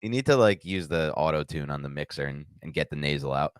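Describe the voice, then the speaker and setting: A man's voice: rumbling low, animated, fast, clear, resonant tone, no echo, clean studio sound. A man co-hosting a podcast with a nasal voice, bantering and teasing in a jokey way and sometimes groping for words. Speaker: podcast co-host.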